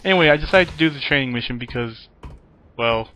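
Male let's player, mid-pitched, chatty and jokey, rambling over gameplay with slight background noise and key clicks. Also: expressive, fast, sharp tone. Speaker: let's play host. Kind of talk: casual gameplay commentary.

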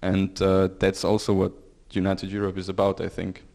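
A young man, speaking in a halting, measured way in a group discussion on a handheld mic. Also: low-pitched, monotone, gravelly, darkish tone. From Belgium. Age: young adult. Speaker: panel participant. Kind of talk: discussion contribution. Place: medium indoor room with seated audience. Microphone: handheld microphone.

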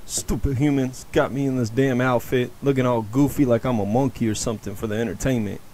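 A man's voice: medium pitch, fairly even intonation, brisk, dark tone, a little hiss or hum, close to an everyday voice. Sassy pet dog, voiced by a man, indignant and slangy, grumbling at humans for a comic meme dub.

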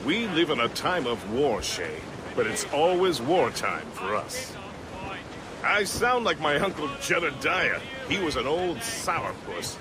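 A man's voice: fairly high pitch, sing-song, measured pace, a crowd in the background. A man playing a jovial, talkative, folksy first mate of a warship in a video game. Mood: chatty, reminiscing. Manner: folksy, chatty. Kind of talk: video game cutscene acting. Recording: music under the dialogue.

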